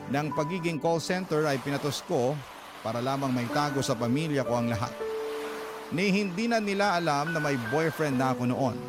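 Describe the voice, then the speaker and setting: Slightly high male storyteller over music. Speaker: radio drama narrator reading a letter. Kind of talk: dramatic scripted first-person narration. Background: clear background music.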